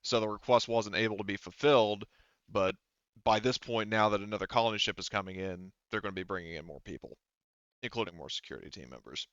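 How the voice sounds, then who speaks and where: A man's voice: fairly low pitch, fast talker, fairly bright tone, clear, resonant tone, dry recording. A man acting as game master talks players through details in a patient, step-by-step way. His voice is clean and resonant. The recording is close and dry, with a slightly dull top end. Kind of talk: explaining a tabletop game to players.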